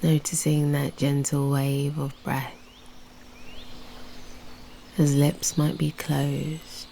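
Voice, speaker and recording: A British woman guiding a meditation in a soft, breathy, near-whispered voice, soothing and unhurried, leaving long pauses. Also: deep voice, flat delivery, a little hiss or hum. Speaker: meditation guide and host. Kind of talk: guided meditation narration.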